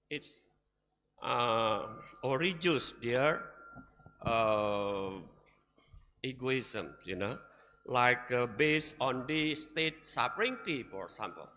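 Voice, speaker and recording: A man's voice: on the high side, sharp tone, long reverb tail. A man closing his conference paper presentation in a hesitant manner, full of fillers. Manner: hesitant, full of "you know" and "uh".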